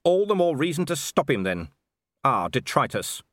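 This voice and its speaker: A man's voice: fairly high pitch, big pitch swings, normal speed, a bit bright, no echo, studio quality, voice pushed up. Man as a menacing, clipped watch commander. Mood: grimly determined. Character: grim, furious, commanding male watch commander, middle-aged.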